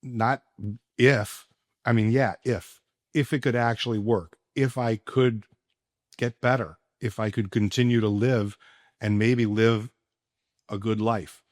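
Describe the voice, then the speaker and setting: A man's voice: medium pitch, steady tone, takes it slowly, a little mellow, dry recording, studio quality. A man in his sixties hosting a podcast, talking casually in a blunt, conversational way. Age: 60s. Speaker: podcast host. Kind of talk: casual podcast chat and personal testimonial.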